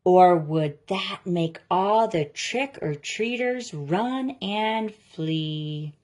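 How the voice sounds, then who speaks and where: Lady doing a kids read aloud, slightly low voice, really slow n expressive storytime delivery. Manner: slow, deliberate, expressive delivery of rhyming lines. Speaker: story reader.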